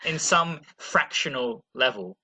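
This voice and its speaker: A man from Australia hosting a relaxed video call interview and asking long, probing questions. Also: fairly high pitch, measured pace, rough recording. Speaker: host.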